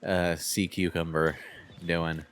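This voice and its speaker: Low-voiced man in casual game chat. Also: little pitch movement, takes it slowly, a bit bright. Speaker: video game commentator. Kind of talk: casual conversational commentary.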